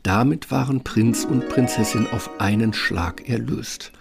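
A man's voice: mid-range voice, a little slow, creaky, music in the background. A man narrating a fairytale radio play as a scripted storyteller.

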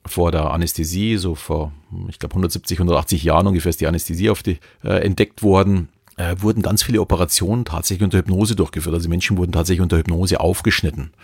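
A man's voice: low voice, steady tone, fast talker, gravelly, darkish tone, breathy edge, no room sound, clean studio sound. A man with a Bavarian accent, hosting a podcast on hypnosis, who talks in a loose, chatty way with many fillers. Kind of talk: casual podcast talk.

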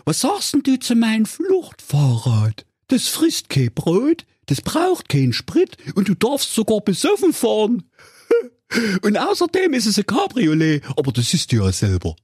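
Man playing a chummy sly robber, pitched up, lively. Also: unhurried, dry recording, studio quality, full character voice. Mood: wheedling. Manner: chummy, smooth-talking salesman. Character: sly bulky male bank robber.

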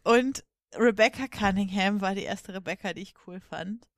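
A woman's voice: medium pitch, normal speed, no echo, studio quality. A woman co-hosting a podcast, chatting casually about cartoons. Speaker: podcast co-host.

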